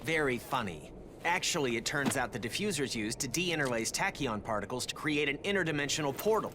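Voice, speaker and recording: An actor playing a brainy, earnest teenage mutant turtle inventor in a video game, explaining things in a nerdy technical way. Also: male voice, medium pitch, animated, a little fast, noisy background, lightly acted.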